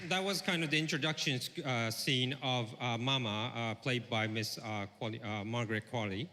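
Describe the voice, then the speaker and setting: A man with a bright, forward voice interprets live for a stage audience. He is halting and rephrases as he goes, and the hall adds a long reverb. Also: medium pitch, slight background noise. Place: large presentation stage.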